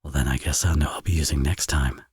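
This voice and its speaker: A man's voice: extremely low pitch, fairly even intonation, quick pace, a little mellow, husky, no echo, clean studio sound, heavily acted. A man playing a teasing, flirtatious villain and doting father in an intimate romance audio roleplay. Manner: playful, smug, sarcastic teasing.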